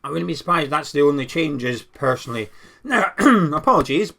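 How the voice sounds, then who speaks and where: Bright, croaky-voiced man. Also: fairly high pitch, expressive, a little fast. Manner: conversational, opinionated, thinks aloud with restarts. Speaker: football channel host. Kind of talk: casual opinion monologue.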